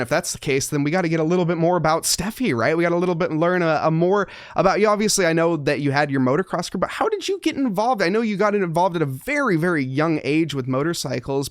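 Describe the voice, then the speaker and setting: A man's voice: high-pitched, lively intonation, fast talker, few pauses, no room sound, clean studio sound. A man who works as a sim racing commentator, talking as an upbeat guest in a casual podcast interview.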